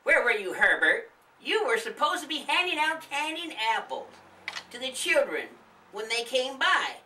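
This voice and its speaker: A woman's voice: high voice, big pitch swings, moderate pace, sharp tone, slight background noise, pitched above the performer's own voice, full character voice. A woman voicing an old wife in a children's story, scolding and motherly by turns, recorded roomily at a distance. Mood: bossy scolding. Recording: roomy, distant microphone.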